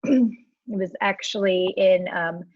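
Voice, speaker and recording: A woman's voice: mid-range voice, takes it slowly, no echo. A woman from Ohio giving a webinar talk as an education professor, chatty and self-deprecating, with frequent fillers and laughs. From Ohio, USA. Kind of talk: live webinar presentation. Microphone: computer mic on a video call. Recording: dull, slightly lo-fi video-call audio.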